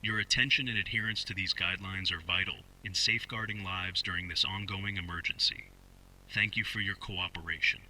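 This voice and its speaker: Man acting a deep, forceful police announcer. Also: steady tone, slight background noise, low-quality recording. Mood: stern, formal. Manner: formal, measured, official. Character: male police spokesman, stern, formal, authoritative. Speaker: public emergency announcer. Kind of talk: scripted emergency broadcast announcement.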